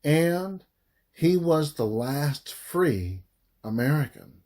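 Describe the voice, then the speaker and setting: A man narrates written history at a very slow pace in a slightly high voice. He is deliberate and emphatic, breaking sentences into short, halting phrases as he reads aloud. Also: very animated, darkish tone. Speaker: audiobook reader, author of the book.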